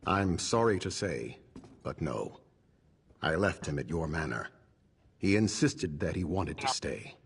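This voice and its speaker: Deep, solemn fantasy protector. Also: male voice, big pitch swings, a little slow, creaky, long pauses, slightly rough, full character voice. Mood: relieved, apologetic. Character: stern, protective male swordsman sheltering survivors, noble and weary. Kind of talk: fantasy video game character dialogue. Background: background music.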